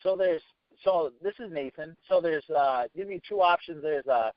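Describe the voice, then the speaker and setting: Halting man on a muffled conference call. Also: a little high, dry recording. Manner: halting, repetitive, hesitant phrasing. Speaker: sales team leader hosting a training conference call. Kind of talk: informal motivational business talk.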